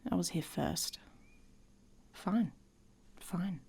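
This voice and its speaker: Woman playing a husky, whispery, taunting she-wolf. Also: fairly low pitch, drawn-out words, dark tone, exaggerated voice. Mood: defensive, irritated. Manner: teasing, sardonic, often dropping into whispers. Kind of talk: intimate ASMR roleplay.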